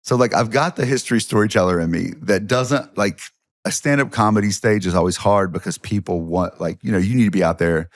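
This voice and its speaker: A man's voice: on the low side, a little fast, no echo, clean studio sound. A middle-aged man with a Southern US accent, chatting as a podcast guest, telling self-deprecating stories with lots of restarts. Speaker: guest, history professor and comedian. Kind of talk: casual podcast chat.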